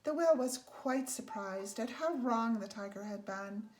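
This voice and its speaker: A woman reading a children's picture book aloud as a storyteller, in a measured, deliberate way with emphasized phrases. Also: a little high, lively intonation, a little mellow. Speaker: storybook reader. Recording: faint background noise.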